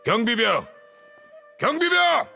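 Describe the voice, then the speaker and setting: An actor playing an imperious, suspicious older grand sage in a game, with a haughty, commanding manner. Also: male voice, extremely high pitch, very animated, slow pace, creaky, bright, forceful tone, faint music behind, exaggerated voice. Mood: angry calling. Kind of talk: dramatic Korean game voice acting.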